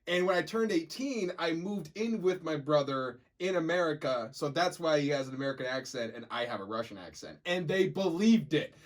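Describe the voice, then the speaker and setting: A young man chatting as a podcast co-host, voice sitting a touch high for a man. He rambles through his stories, stumbling over restarts and piling up fillers before he gets to the point. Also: animated, a little fast, few pauses. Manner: rambling storyteller, many restarts and stammered fillers. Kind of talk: casual chat.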